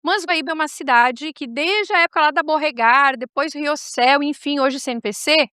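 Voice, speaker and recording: A woman with a high voice and a southern Brazilian gaúcho accent hosts a talk show. She chats informally, wandering off and turning back to address the audience directly. The sound is dry and close. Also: normal speed, sharp tone, dry recording. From Rio Grande do Sul, Brazil. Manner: chatty, digressive, addresses viewers directly. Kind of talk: casual talk show chat.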